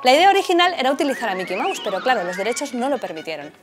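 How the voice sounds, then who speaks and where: A woman in her forties with a Castilian Spanish accent, presenting a scripted film video in a chatty, expressive way. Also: a little high, racing. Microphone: lapel mic. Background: music.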